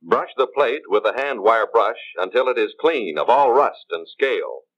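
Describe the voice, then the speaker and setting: An American man reading scripted instructional narration in a measured, formal way, as in a 1950s training film. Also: mid-range voice, expressive, breathy edge. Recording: dull 1950s film soundtrack.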